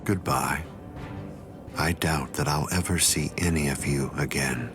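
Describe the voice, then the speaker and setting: A man voicing a calm, coldly condescending villainous captain in an anime game, with measured, composed delivery. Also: very deep voice. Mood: cold, smug. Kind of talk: English voice acting for anime game cutscene. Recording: dramatic music behind the voice. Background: loud music.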